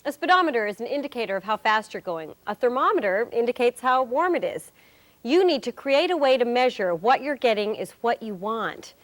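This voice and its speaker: A woman's voice: a little high, lively intonation, fairly quick, lightly acted. A woman acting as a wry, cheerful presenter, giving scripted instruction in a corporate training film. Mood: instructive, playful.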